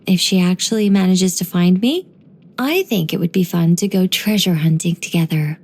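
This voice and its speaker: A woman voicing a gentle, wise young angelic woman in game voice lines, soft and wryly musing. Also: on the low side, moderate pace, breathy edge.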